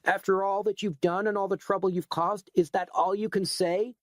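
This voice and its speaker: A man's voice: sits high, level tone, moderate pace, no echo, clean studio sound, voice pushed up, lightly acted. A man doing a tense, indignant store owner. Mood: furious. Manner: tight, agitated, rising to shouting. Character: angry middle-aged male store owner, tense and indignant.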